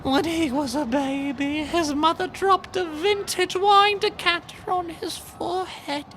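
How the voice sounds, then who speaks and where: Very high, slow, dying little villain. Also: male voice, steady tone, pushed and bright, slight background noise, heavily acted. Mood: weak, melodramatic. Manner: florid, melodramatic, insulting. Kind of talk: comedic fantasy audio drama acting.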